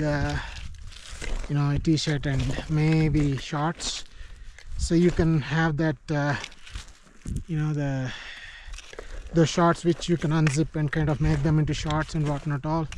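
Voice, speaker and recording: A man talking to viewers while hiking a mountain trail, halting and full of fillers, recorded on a camera mic outdoors. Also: fairly high pitch, steady tone, darkish tone, a little hiss or hum. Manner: halting, many fillers and restarts, addresses viewers as guys. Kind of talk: casual trail vlog commentary.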